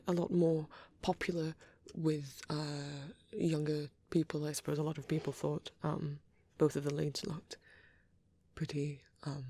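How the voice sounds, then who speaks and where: A performer voicing a shy, bashful, nerdy young male vampire boyfriend in a soft, hesitant, rambling ASMR roleplay. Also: mid-range voice, no echo. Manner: hesitant, stammering, apologetic, excitable when rambling.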